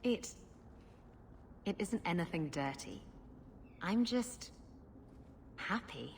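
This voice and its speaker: A woman playing a composed, wry adventurer in a fantasy video game, speaking in a measured way. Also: fairly low pitch, animated, slight background noise. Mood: warm, teasing.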